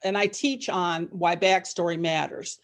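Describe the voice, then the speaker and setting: A middle-aged woman chatting casually as an interview guest, telling things in an animated way and often circling back over her words. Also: lowish voice, unhurried. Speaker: podcast guest, novelist and former psychologist.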